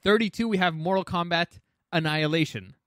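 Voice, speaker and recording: A man's voice: sits high, brisk, a bit bright, dry recording, clean studio sound. A man co-hosting a casual talk show, giving blunt opinions with some swearing and stammered restarts as he runs through a movie ranking. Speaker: podcast co-host.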